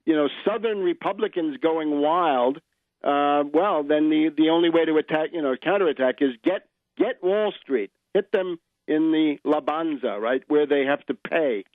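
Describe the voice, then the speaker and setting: An American man with a slightly high voice hosts political talk radio. He is opinionated and sardonic, explaining like a professor and checking in with "right?". The sound is narrow and phone-like, with very little room in it. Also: moderate pace, dry recording. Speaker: radio show host and political commentator.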